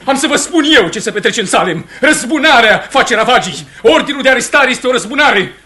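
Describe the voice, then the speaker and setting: A man's voice: very high-pitched, animated, bright, forceful tone, exaggerated voice. A man acting in a Romanian radio play as a proud, hot-tempered farmer and husband, impassioned and declamatory. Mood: furious.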